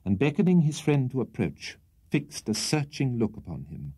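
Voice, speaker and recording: A man's voice: mid-range voice, sing-song, moderate pace, warm tone, breathy edge. A British man reading a literary audiobook as storyteller, in a precise, theatrical manner. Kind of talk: scripted literary audiobook narration.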